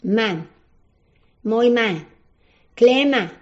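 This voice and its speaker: An older woman from Croatia, a native Croatian speaker with a Croatian accent, answering interview questions in halting broken English and often searching for words. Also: fairly high pitch, animated, a little mellow. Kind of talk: oral history interview. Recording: dull lo-fi recording.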